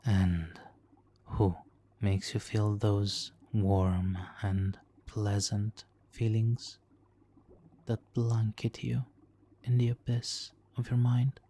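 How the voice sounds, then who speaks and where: A man playing a smug, seductive supervillain hypnotist in an ASMR roleplay, speaking softly and theatrically with lapses into whispers. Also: fairly low pitch, level tone, extremely slow, a little hiss or hum. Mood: soothing, coaxing.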